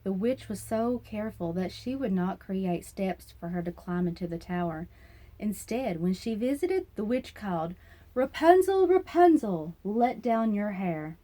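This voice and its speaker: A woman's voice: medium pitch, moderate pace, warm tone, low-quality recording. A woman narrating a children's fairy tale as a storyteller, reading aloud expressively.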